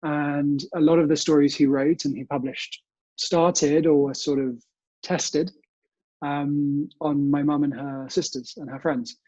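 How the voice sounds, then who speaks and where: A man's voice: fairly high pitch, fairly even intonation, measured pace, dark tone, dry recording, muffled recording. A young British man speaking politely as an interview guest, telling family memories in a conversational way. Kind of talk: conversational interview answers, reminiscing. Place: remote recording from home.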